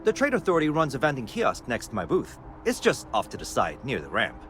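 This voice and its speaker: A man's voice: medium pitch, wildly swooping pitch, brisk, fairly bright tone, slight background noise. A man playing a friendly, easygoing spaceport technician in a video game, informal and helpful as he talks. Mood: helpful, businesslike. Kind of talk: scripted video game dialogue.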